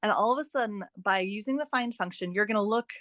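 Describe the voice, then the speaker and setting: Female podcast guest, mid-pitched, emphatic and encouraging, explains practical tips with repeated phrases; very dry, close sound. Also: quick pace, fairly bright tone, no room sound. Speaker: guest author and fiction editor. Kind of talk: podcast interview, giving practical advice.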